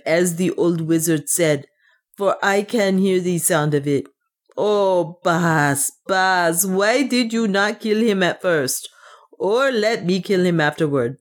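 Woman acting a low-voiced, loyal dwarf manservant. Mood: grave, reproachful. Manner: earnest, deferential. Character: loyal, brave dwarf manservant, plain-spoken and practical. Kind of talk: dramatised audiobook dialogue.

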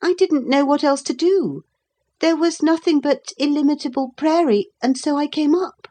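A woman's voice: high voice, moderate pace, dark tone, pitched above the performer's own voice, lightly acted. A woman voicing a proud, polite young boy with an earnest, formal manner in a storybook.

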